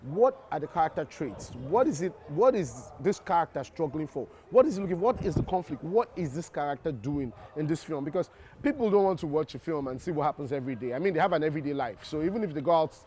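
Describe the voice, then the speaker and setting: Nigerian male actor, interview guest, a bit high-pitched, thoughtful, repeats himself as he thinks. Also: lively intonation, quick pace, warm tone, slight background noise. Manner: reflective, restarts and repeats words while explaining. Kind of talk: interview answers.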